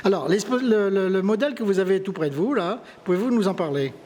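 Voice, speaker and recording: A man's voice: high-pitched, fast talker, a little mellow, slight background noise. A man working as an interviewer, presenting an exhibition on site and putting questions to the artists. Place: indoors in an exhibition room at a tourist office.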